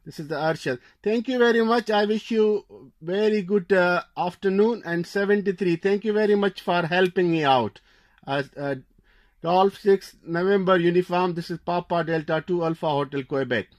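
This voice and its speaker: High-pitched man on ham radio. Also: moderate pace. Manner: repeats phrases and call signs. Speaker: amateur radio operator. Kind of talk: ham radio contact exchange. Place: indoor radio desk.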